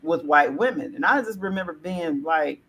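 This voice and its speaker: A woman in her forties from Alabama, hosting a casual discussion on a video call, animated and full of "like" fillers. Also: low-pitched, normal speed, breathy edge. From Alabama, American South.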